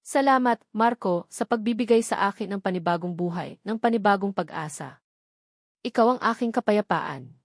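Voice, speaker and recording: A woman's voice: medium pitch, lively intonation, normal speed, no room sound, studio quality, lightly acted. A woman narrator voicing an emotional, grateful young bride in a sentimental storybook reading.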